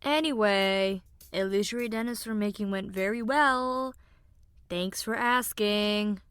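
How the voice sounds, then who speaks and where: A woman voicing a sensible, wry young illusionist student in an audio drama, measured and gently chiding. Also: mid-range voice, smooth, dry recording, natural voice. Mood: mildly dry. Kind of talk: audio drama character banter.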